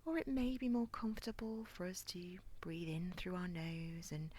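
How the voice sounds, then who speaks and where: A woman leading a guided body scan meditation in a soft, near-whispered, breathy voice with a calm, gentle, soothing manner. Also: fairly low pitch, moderate pace. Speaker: guided relaxation instructor.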